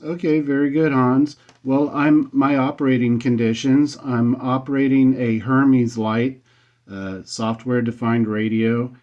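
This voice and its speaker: A man's voice: mid-range voice, flat delivery, a little slow. A man talking as an amateur radio operator, holding a conversational contact exchange. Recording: slightly dull, band-limited sound.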